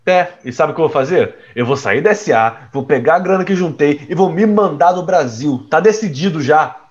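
Man acting a defiant, animated young playboy. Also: fairly high pitch, normal speed, dull audio, natural voice. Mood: agitated. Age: young adult. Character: defiant, reckless young rich playboy son. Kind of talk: audio drama acting.

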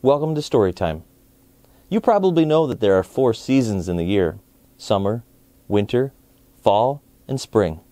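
An American man in his forties reading a storybook aloud to children, with clear enunciation and expressive phrasing. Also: lowish voice, measured pace, no room sound. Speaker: children's story time host.

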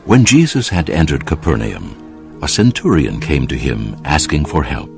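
Very deep, gravelly male narrator. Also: sing-song, moderate pace, faint music behind. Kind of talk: scripted narration of dramatised audio Bible.

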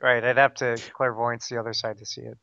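A man playing a tabletop game over a video call, easygoing and quick to laugh. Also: mid-range voice, level tone, gravelly, pushed and bright, highs cut off. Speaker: player. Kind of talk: casual tabletop game chat.

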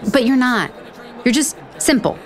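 A woman's voice: sits high, animated, moderate pace. An actress playing an earnest, self-deprecating woman author in a romantic comedy audio drama, frank, rambling and profane. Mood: apologetic, nervous.

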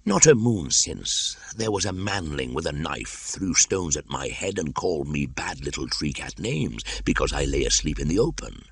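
A man's voice: very deep voice, sing-song, moderate pace, hardly any pauses, slightly rough, dry recording, full character voice. Man doing a haughty, irritable old python. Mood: offended. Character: huge old python, proud, irritable, wise.

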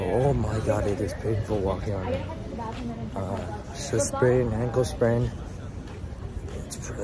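A young man with a hoarse, sore voice, talking to a phone held at arm's length as he limps outdoors at night, groaning now and then in pain. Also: fairly low pitch. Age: young adult. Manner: groaning, talking while limping. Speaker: vlogger. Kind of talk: casual walking vlog. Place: outdoors at night on a city campus. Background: street traffic.

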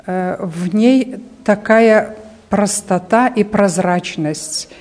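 Woman, fifties, spokesperson in interview: mellow voice, medium pitch, halting delivery as she hunts for words. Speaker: association press spokeswoman being interviewed. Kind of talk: interview answers. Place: indoor art gallery. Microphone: reporter's handheld microphone.

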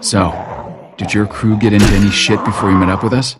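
A man's voice: fairly low pitch, fairly even intonation, brisk, noisy background, natural voice. An actor playing an easygoing, probing male survivor in a zombie video game, casual and dry.

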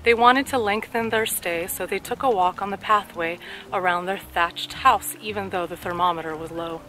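A woman in her thirties reading a scripted story aloud with deliberate, carefully articulated delivery. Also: medium pitch, bright, forceful tone, slight background noise, rough recording. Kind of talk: scripted story read-aloud for speech practice. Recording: clear background music under the voice. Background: music.

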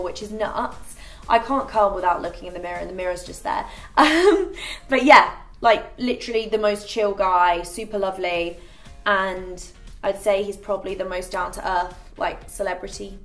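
Brief: young woman, beauty vlogger, chatty and rambling, light music under the voice. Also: medium pitch. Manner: chatty, filler-heavy with frequent "like". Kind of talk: casual chatty get-ready-with-me vlog. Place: bright living room set.

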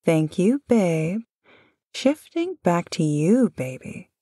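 A woman in a close-miked audio roleplay, speaking gently and affectionately with dry amusement, as a voice actor addressing a loved one. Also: on the low side, sing-song, takes it slowly, no echo.

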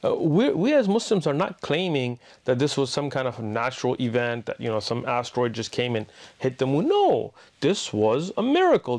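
A man's voice: mid-range voice, a little mellow. A man giving an explanatory religious talk in an emphatic manner, posing rhetorical questions to his audience. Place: indoor room with bookshelves.

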